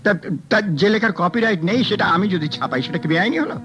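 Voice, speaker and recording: An actor playing a sly, scheming book collector who mocks and dodges a detective's questions. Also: male voice, high-pitched, animated, a little fast. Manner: mocking, evasive, sly. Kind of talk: 1970s radio drama acting. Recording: dull old radio recording, faint music.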